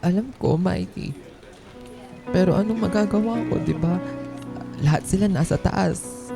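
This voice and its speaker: A man's voice: high voice, unhurried, creaky, music in the background. An actor playing a timid, weary male office employee in a radio drama, hesitant and resigned.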